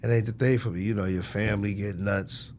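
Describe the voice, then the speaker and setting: A man's voice: lowish voice, steady tone, brisk, darkish tone, slight background noise. An American man being interviewed as a record executive, talking casually in slang with lots of conversational tags.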